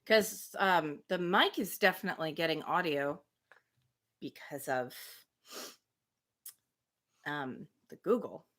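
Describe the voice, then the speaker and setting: A woman reading a classic novel aloud on a livestream, with expressive phrasing and casual spoken asides. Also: deep voice, slow pace, pauses often. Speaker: livestream book reader.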